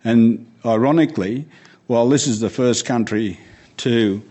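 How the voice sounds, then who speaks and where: A man's voice: on the low side, darkish tone. Earnest man fielding questions. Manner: earnest, emphatic, repeats words when starting. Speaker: guest speaker answering questions. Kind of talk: panel Q&A answers.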